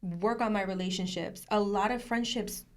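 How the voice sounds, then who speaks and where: Woman podcast guest, lively and chatty, laughs a lot, mid-pitched voice, plays out quotes in her stories. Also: normal speed. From Puerto Rican family, from New Jersey. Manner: animated storyteller, laughs often, acts out quotes. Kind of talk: casual interview chat.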